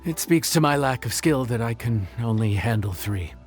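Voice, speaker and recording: An actor playing a modest, patient young male chef in a video game, explaining in a measured, earnest way. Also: mid-range voice, lively intonation, over music, close to an everyday voice. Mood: reassuring, modest.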